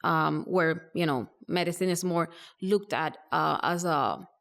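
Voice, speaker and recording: A woman doctor from Rico, answering interview questions thoughtfully with many pauses and fillers. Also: sits low, vocal fry, fairly bright tone. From Puerto Rico. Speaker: primary care doctor.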